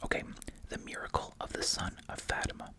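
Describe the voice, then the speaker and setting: Whispering ASMR host, breathy and unvoiced. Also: brisk. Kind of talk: whispered ASMR, reading reports with casual asides.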